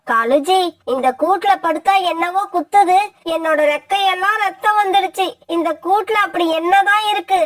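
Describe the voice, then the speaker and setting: A whiny female crow from an animated moral tale, played by a woman. The pitch is extremely high, and the manner is lazy and self-pitying. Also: normal speed. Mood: pained, whining. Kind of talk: children's cartoon story dialogue.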